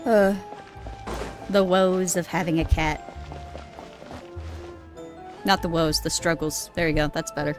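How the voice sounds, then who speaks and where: A woman's voice: lowish voice, fairly even intonation, a little slow, long pauses. A woman live streamer chatting casually as she plays a video game, rambling, giggly and free with swearing. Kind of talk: casual gameplay stream chat. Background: game music.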